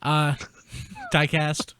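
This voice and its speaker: A man's voice: fairly high pitch, wildly swooping pitch, extremely slow, pushed and bright, smooth. A man hosting a casual panel chat, informal and blunt in the way he talks. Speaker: podcast host.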